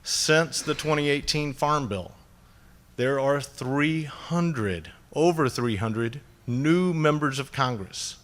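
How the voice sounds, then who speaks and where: A man's voice: mid-range voice, slow, fairly bright tone. A man from North Georgia with a Southern accent, giving a plain-spoken conference speech full of "uh" fillers and light jokes. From North Georgia, USA. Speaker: agricultural lobbyist. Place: meeting hall.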